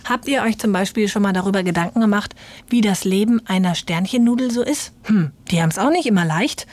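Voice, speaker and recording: A woman presents a children's radio programme in a chatty, scripted style. Her voice is medium in pitch, and she speaks directly to young listeners. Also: a little fast, darkish tone.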